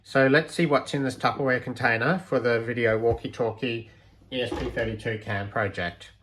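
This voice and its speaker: A man's voice: medium pitch, fairly even intonation, normal speed, a bit bright, slight background noise. A man presenting a homemade electronics project in an informal, unscripted way, hesitantly thinking aloud as he goes. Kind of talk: casual unscripted project walkthrough.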